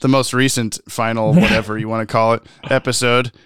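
Male podcast host, medium pitch, smooth and clear, casual joking delivery, dry recording. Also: fairly even intonation, brisk, fairly bright tone, dry recording. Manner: jokey, rambling. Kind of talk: casual chat, reading out listener mail.

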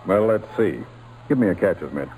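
An actor playing a gruff, terse but fair baseball team manager in an old-time radio drama. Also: male voice, deep voice, animated, fairly quick, a little mellow. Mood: businesslike. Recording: faintly noisy old radio recording.

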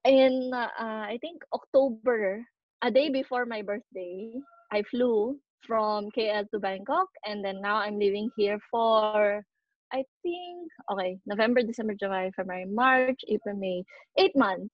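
A woman's voice: medium pitch, unhurried, dry recording, dull audio. A Filipino woman in her thirties co-hosting a podcast, chatting casually and telling stories with frequent ums and laughs. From Philippines. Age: 30s. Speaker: podcast co-host. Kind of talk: casual chat mixing English and Tagalog.

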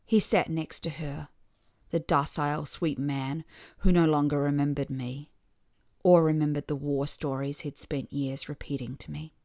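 A woman reading a scripted first-person short story with wry expression, letting some phrase endings drop softly. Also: deep voice, steady tone, moderate pace, dry recording, studio quality.

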